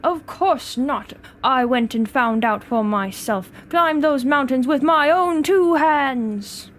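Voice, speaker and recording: A woman voicing a pompous, blustering gentleman explorer who is really a sea slug, with an attempted British accent. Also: high voice, lively intonation, slow, slight background noise. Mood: indignant, proud. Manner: pompous, declamatory, theatrical.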